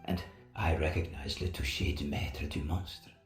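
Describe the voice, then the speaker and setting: A man playing a grave, secretive Persian stranger in an audio drama, speaking formally and deliberately in a hushed voice that often drops to whispers. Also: rumbling low, steady tone. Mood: hushed, insistent. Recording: echoey, roomy sound with music.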